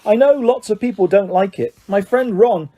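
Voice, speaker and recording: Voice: mid-range voice, moderate pace, mellow, pitched above the performer's own voice, close to an everyday voice. A reader voicing a polite, nervous young boy wizard who hesitates and stammers. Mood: worried, hushed.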